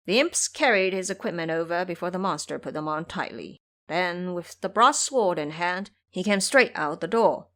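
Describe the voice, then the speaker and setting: Animated woman narrating an adventure tale. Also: fairly low pitch, normal speed. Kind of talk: dramatic scripted storytelling narration.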